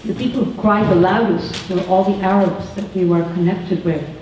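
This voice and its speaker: A woman's voice: mid-range voice, normal speed, a little hiss or hum. An older woman from Egypt asking a question from the audience, rambling and conversational. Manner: rambling, conversational, quotes others in lively voices. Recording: echoey room, distant, dull and lo-fi.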